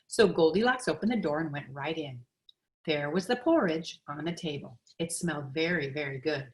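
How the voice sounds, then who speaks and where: A woman reading a children's picture book aloud in an expressive, deliberate storytelling manner. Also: low voice. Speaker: storybook reader.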